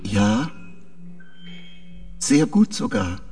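A man voicing a confused, dreamy artificial mind of a spaceship in a radio play, speaking haltingly in short, simple sentences with a creaky voice. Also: lowish voice, wildly swooping pitch, darkish tone, faint music behind, exaggerated voice. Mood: guarded, uncertain. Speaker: radio drama actor. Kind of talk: German radio play dialogue acting.

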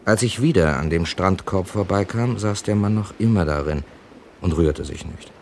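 A deep-voiced, reflective crime witness. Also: male voice, a little mellow. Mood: reflective, uneasy. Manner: deliberate, literary, earnest. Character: reflective male hotel guest, narrator and witness. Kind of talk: German radio crime play narration and dialogue. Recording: slightly hissy old radio recording.

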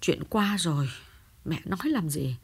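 A man voicing an injured, remorseful young woman who speaks softly and pleads. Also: fairly high pitch, big pitch swings, unhurried, husky, pitched above the performer's own voice, full character voice. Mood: weary. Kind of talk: audiobook character voice.

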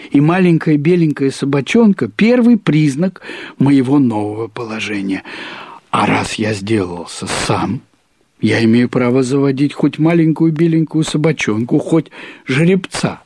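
A man reads literary prose as a storyteller, in a measured, deliberate way with pauses and emphatic repetition. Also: mid-range voice, darkish tone, slightly rough, no room sound, studio quality. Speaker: narrator. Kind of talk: expressive literary narration of a short story.

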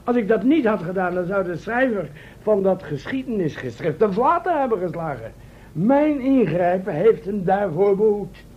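An actor playing a cheeky, headstrong assistant in a radio drama, talking in an informal, argumentative way. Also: male voice, big pitch swings, normal speed, breathy edge, heavily acted. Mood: defensive. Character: cheeky, headstrong, eager male assistant on time-travel expedition. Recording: dull, rumbly, noisy old radio recording.

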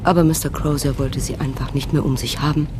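A woman's voice: very deep voice, steady tone, fairly quick. An actress playing a friendly, earnest woman who talks confidingly while driving, in a radio drama. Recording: clear car noise. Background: moving car.

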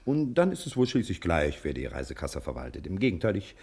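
An actor playing a put-upon, self-important private detective in an old German radio crime drama, sardonic and indignant. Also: male voice, sits low, wildly swooping pitch, fast, darkish tone, husky, full character voice. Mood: grudging.

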